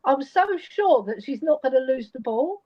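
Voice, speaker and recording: A woman's voice: sits high, animated, normal speed. A woman from England with an English accent, chatting casually and reacting as she watches a live football match. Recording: dull, lo-fi stream audio.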